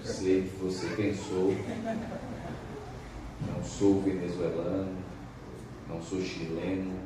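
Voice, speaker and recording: Male evangelist, Pernambuco accent, deep mellow tone, distant and echoey church recording. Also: little pitch movement, slow pace, background noise. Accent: Pernambuco Brazilian Portuguese. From Pernambuco, Brazil. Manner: formal, emphatic, dramatic reading. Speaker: visiting evangelist. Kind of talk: sermon with scripture reading. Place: church service. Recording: echoey church hall, from far off.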